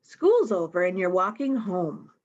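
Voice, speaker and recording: A woman reading a picture book aloud to young children in an expressive way, pausing to explain the pictures. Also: on the low side, muffled recording. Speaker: storytime reader.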